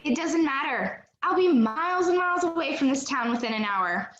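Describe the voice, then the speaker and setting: A woman's voice: high-pitched, lively intonation, moderate pace, a bit bright, reverberant, dull audio. A woman in an amateur play acting a cocky, scheming teenage bank robber with a breezy, confident manner. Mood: smug, taunting.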